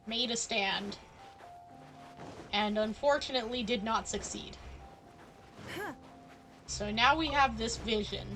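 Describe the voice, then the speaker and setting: A woman's voice: on the high side, a bit bright, a little hiss or hum. A woman game streamer giving casual live commentary, musing and thinking aloud as she goes.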